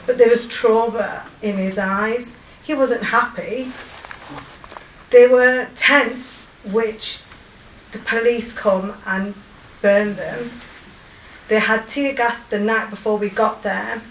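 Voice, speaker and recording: A woman in her forties with a strong Yorkshire accent, telling of her volunteer work in a halting, emotional way with many pauses and fillers. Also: fairly high pitch, echoey room, far from the mic, a little hiss or hum, low-quality recording. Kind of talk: personal testimony.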